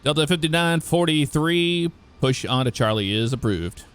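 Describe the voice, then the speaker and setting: A man's voice: a little high, lively intonation, normal speed, a bit bright, smooth. A man working as a ground controller, giving clipped, procedural air traffic control instructions. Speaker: air traffic ground controller in an online flight simulation network.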